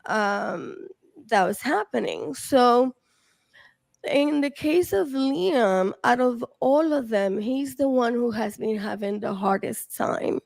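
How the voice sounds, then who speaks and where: Slow, halting, gravelly-voiced woman. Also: on the high side, lively intonation, a little mellow, no echo. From Dominican Republic. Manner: halting, full of fillers and restarts. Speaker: research team member. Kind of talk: casual panel talk.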